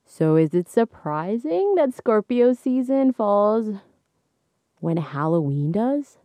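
A young woman hosting a solo podcast, chatting casually into a microphone. She laughs often, and her voice is slightly hoarse. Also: slow, no echo. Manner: chatty, laughs often, animated swings in pitch. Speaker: podcast host. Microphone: studio microphone.

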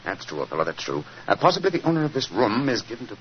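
Keen detective, authoritative, crisp reasoning, lo-fi old radio sound. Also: male voice, on the low side, wildly swooping pitch, racing. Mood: probing. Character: keen, commanding male detective, brisk and sure. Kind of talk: 1950s radio drama acting. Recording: dull old radio recording, light hiss.

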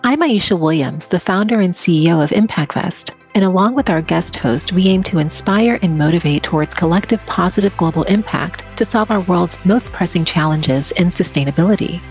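A woman with a fairly low voice hosts a podcast, with faint music playing behind her. She reads her introduction smoothly and asks questions that often end in a tag question. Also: poor audio. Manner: polished, often adds "right?". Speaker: podcast host. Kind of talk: scripted intro and interview questions.

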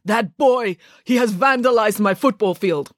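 A woman acting out an indignant Russian gym teacher from a children's storybook. He is excitable and exclamatory, his voice pitched high and pushed hard, recorded close and dry. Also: no echo. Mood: furious. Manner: indignant, exclamatory, halting when furious.

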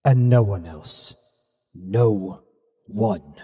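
A man voicing a solemn, loving pirate father facing death, speaking slowly and low in an audiobook. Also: dark tone, slightly rough, long reverb tail, exaggerated voice. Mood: solemn, tender.

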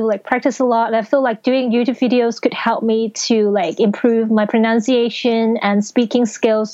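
A woman from Hong Kong with a Hong Kong accent, Cantonese native, speaking as an interview guest, soft-spoken and hesitant with fillers. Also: fairly high pitch, lo-fi sound. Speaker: guest, author and teacher. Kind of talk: casual interview.

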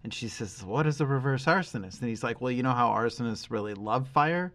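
A man's voice: mid-range voice, animated, fairly quick, fairly bright tone. A man in his forties chatting casually as the co-host of a podcast. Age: 40s.

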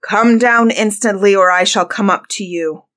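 A woman voicing a stern, murderous, commanding husband in a fairy tale, speaking deliberately and emphatically. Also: fairly low pitch, animated, fairly bright tone, exaggerated voice. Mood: angry, loud. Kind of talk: dramatized fairy-tale storybook reading.

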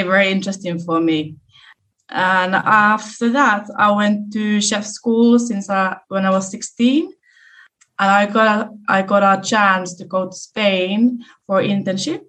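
A woman's voice: lowish voice, fairly bright tone. A young woman from southeast Finland with a Finnish accent, a chef answering interview questions in an earnest way with hesitant restarts. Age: young adult. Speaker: guest chef.

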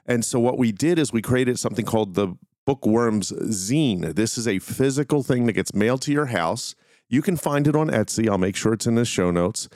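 A man's voice: medium pitch, lively intonation, moderate pace, darkish tone, no echo, clean studio sound. A man hosting a solo podcast, talking casually and informally in a meandering way. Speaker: podcast host.

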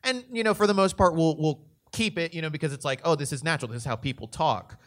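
A man's voice: a little high, quick pace. A man talking casually as a voice actor and director on a convention panel, thinking aloud as he goes.

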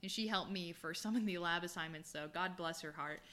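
Young woman with a bright, frank voice. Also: lowish voice, brisk. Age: young adult. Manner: candid, self-deprecating, frequent laughter. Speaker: podcast co-host. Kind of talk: casual chat.

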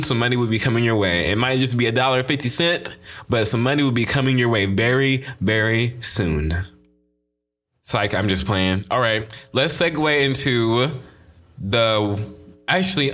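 Sassy young man with a lisp, bright voice. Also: medium pitch. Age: young adult. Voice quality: slight lisp. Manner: sassy, emphatic, repeats catchphrases. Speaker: podcast host. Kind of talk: casual solo podcast intro.

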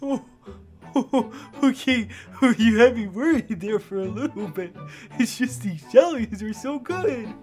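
A childlike, sweet-toothed records clerk, high and wildly swooping in pitch. The delivery is naive, halting and giggly, in audio drama style, with music underneath. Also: female voice, lively intonation, a little mellow. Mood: worried, then relieved.

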